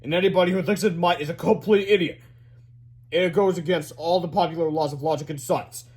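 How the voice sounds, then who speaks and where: A man's voice: fairly high pitch, steady tone, brisk, a little mellow, natural voice. A man reading a children's story, voicing a smug, pompous scientist father who talks down to everyone in a condescending, mocking way. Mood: smug, dismissive.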